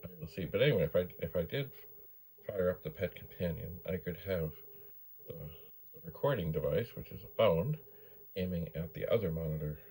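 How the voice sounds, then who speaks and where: A man's voice: deep voice, expressive, normal speed, low-quality recording. A man hosting a hands-on hobby video in casual, unscripted chat, rambling and musing to himself as he goes. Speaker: host of a vintage computer video series. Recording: background hum and noise. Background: gentle tape machine hum, clicking.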